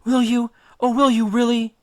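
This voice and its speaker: A man's voice: very high-pitched, little pitch movement, normal speed, darkish tone, no echo, clean studio sound, pitched above the performer's own voice. A man voicing a lovesick immortal nymph woman who pleads in a clingy, insistent way for a storybook. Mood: eager hope.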